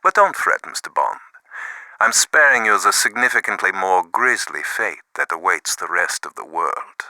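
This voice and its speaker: A theatrical, sharp-edged gloating villain. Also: male voice, low-pitched, expressive, no room sound, full character voice, rough recording. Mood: gloating menace. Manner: mock-polite, deliberate, relishing threats. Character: gloating male villain, theatrical, menacingly courteous. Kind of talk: scripted video game villain acting.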